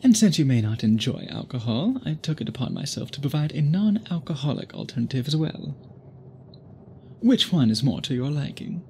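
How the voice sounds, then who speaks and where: A man playing an obsessive, tender vampire suitor in an intimate ASMR roleplay, speaking in formal, gently doting phrases. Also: mid-range voice, very animated, moderate pace, slight background noise. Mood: doting, courtly.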